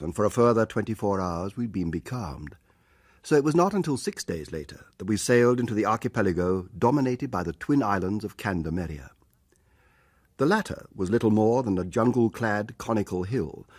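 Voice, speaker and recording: An actor playing a wry, courteous British ship's doctor who also narrates a radio drama in measured, literate speech. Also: male voice, fairly low pitch, a little mellow, breathy edge, no echo, clean studio sound. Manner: measured, literate, slightly formal. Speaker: narrator and lead character. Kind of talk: radio drama dialogue and first-person narration.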